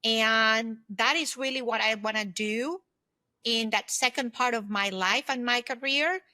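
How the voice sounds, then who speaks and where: A woman in her fifties with a clearly bright, somewhat high voice. She talks reflectively and conversationally, as a guest answering interview questions. The sound is dry, with very little room echo. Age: 50s. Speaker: podcast guest, former executive turned solopreneur.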